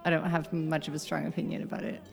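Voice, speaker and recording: A woman's voice: low voice, flat delivery, fast, creaky. A woman from the United States, chatting as a podcast guest, laughing often and wandering into asides. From United States (Southern Oregon). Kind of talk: casual chat.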